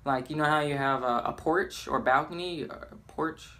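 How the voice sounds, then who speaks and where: A man's voice: fairly high pitch, normal speed. A young man chatting casually and informally in a rambling storytelling style, as a gaming YouTuber. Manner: informal, rambling, acts out quotes excitedly. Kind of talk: casual storytelling over gameplay.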